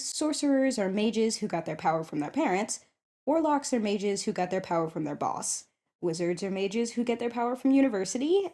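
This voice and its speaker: A woman's voice: mid-range voice, a little mellow, natural voice. A woman playing a shy but eager popular schoolgirl in an ASMR roleplay, chatting hesitantly and thinking aloud. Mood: curious. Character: shy, eager popular schoolgirl curious about tabletop games. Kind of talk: ASMR roleplay, one-sided conversation.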